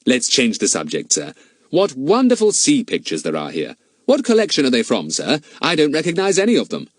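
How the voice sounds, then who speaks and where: Storybook role: male reader as smart schoolboy detective, lively intonation, courteous, innocently cheeky, talkative. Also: medium pitch, a little fast, no room sound, clean studio sound, voice pushed up. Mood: polite, curious. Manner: polite, mock-innocent, talkative. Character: clever, cheeky, politely confident schoolboy sleuth.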